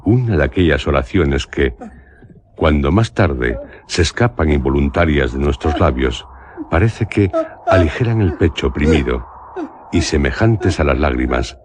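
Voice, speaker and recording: An actor playing a melancholic traveller narrating a literary radio drama, solemn and measured, with a Castilian accent. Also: male voice, a bass voice, lively intonation, crowd noise. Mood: solemn, contemplative. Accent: Castilian Spanish. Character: solemn, melancholic male traveller recounting an eerie journey.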